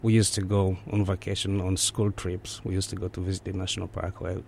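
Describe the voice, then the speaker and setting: A man's voice: sits low, monotone, brisk, creaky, darkish tone, a little hiss or hum. A man from Eastern Congo with a Central African accent, recounting personal memories as an interview guest.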